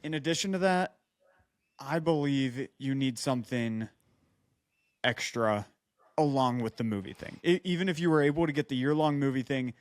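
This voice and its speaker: A man's voice: mid-range voice, normal speed, no echo, studio quality. A man co-hosting a podcast in casual chat, joking and giving blunt advice. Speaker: podcast co-host.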